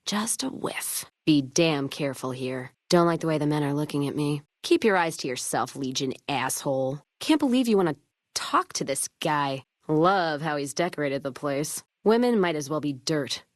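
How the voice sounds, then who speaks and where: Low-voiced, sardonic American wasteland drifter. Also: female voice, animated, moderate pace, no room sound, clean studio sound. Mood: grim, disgusted. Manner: gruff, sardonic, folksy drawling slang. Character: hard-drinking, foul-mouthed, jaded wasteland caravan woman. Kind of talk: video game companion voice lines.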